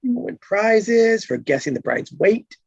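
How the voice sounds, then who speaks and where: Woman acting a friendly teasing police officer, gravelly, animated intonation, muffled dry sound, table read. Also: mid-range voice, measured pace, no room sound, exaggerated voice. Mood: friendly, quirky. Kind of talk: casual screenplay table read.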